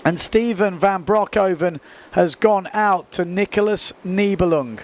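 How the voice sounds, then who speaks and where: A man giving live sports commentary, excitedly calling out scores and time left on the clock. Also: high voice, unhurried, slight background noise.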